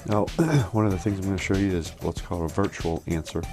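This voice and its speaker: A man demonstrating an office product in a casual, halting, think-aloud way, like a hands-on walkthrough. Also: sits low, over music. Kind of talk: casual hands-on phone system demo.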